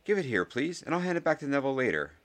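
An earnest, indignant schoolboy from a storybook, acted out by a man. The voice sits much higher than an ordinary man's and pushes forward insistently. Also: very animated, very fast, natural voice. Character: Ernie, indignant shouting schoolboy.

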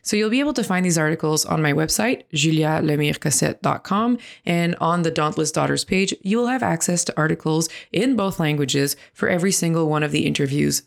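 Low gravelly woman with a Quebec accent. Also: expressive, a little fast, hardly any pauses. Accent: Quebec French. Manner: earnest, rambling with fillers. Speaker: podcast host. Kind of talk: solo podcast intro.

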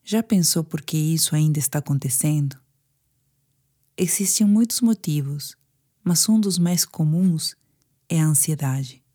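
Woman, Brazilian Portuguese accent, low mellow voice, soothing and hushed, guided sleep meditation. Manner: slow, soothing, hushed delivery with pauses.

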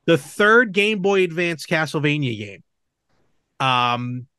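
A man hosting a casual chat podcast, talking in a halting, measured way with small asides and self-corrections. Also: a little high, lively intonation, background noise. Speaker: podcast host. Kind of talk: casual chat about games and film.

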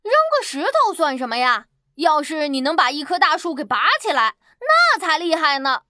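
A tiny, quick-witted little boy who teases and outsmarts a bear. The voice is very high, bright and bouncy, recorded dry and close. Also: lively intonation, no echo, heavily acted. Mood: taunting. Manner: cheeky, taunting. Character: small clever brave farm boy.

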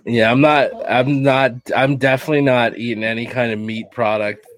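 A man's voice: mid-range voice, fairly even intonation, normal speed. A man co-hosting a live wrestling watch-along, talking casually, swearing freely and laughing often. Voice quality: swears a lot, laughs often, says "dog". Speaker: podcast co-host. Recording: bar crowd chatter behind.

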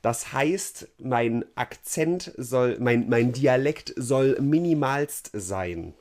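A young man co-hosting a live podcast, full of jokes and quick to drop into silly put-on dialect voices. His voice sits at an ordinary middle pitch for a man, and he talks in loose, playful banter. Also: expressive, a little mellow. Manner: jokey, switches into put-on dialect voices and laughs often. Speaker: podcast co-host.

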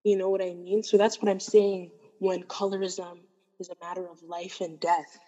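Earnest Canadian woman, husky and dull. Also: fairly low pitch, flat delivery, moderate pace, mellow, in a large hall. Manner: earnest, thoughtful, with many fillers and restarts. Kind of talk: casual discussion. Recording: dull, hollow-sounding recording.

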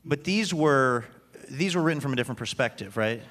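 A man's voice: mid-range voice, lively intonation, a little fast, a bit bright. A man hosting a casual, joking live interview in a wry, conversational way. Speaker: radio show host, interviewer.

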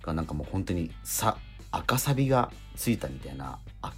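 Animated man rambling through a story. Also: deep voice, brisk, faint music behind. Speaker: radio show co-host. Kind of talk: casual radio chat. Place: radio studio.

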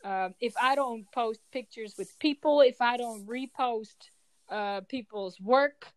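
A woman's voice: fairly high pitch, slow, rough recording. Dutch-born woman with an American-sounding accent. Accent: American-sounding English. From Netherlands. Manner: emphatic and animated, restarts phrases while building a point. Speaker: podcast guest. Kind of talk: casual conversation.

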